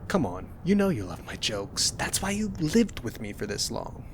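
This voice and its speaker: A young man in a soft-spoken ASMR roleplay, teasing and gentle as a cuddly roommate. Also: mid-range voice, vocal fry, slight background noise.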